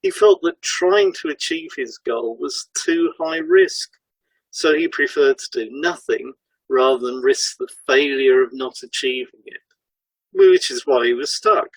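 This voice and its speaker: A man with a husky, creaky voice of middling pitch reads as a podcast co-host. He recounts casework in a scripted, explanatory style. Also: big pitch swings, unhurried, no room sound, rough recording.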